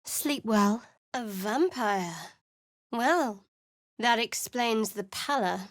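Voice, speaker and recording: Sarcastic young cleric from a game, cool and guarded, slightly high voice, dead dry sound. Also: female voice, very slow, no echo. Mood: wry. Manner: dry, cutting wit with cool reserve. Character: guarded, sardonic young woman cleric adventurer. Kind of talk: video game voice lines.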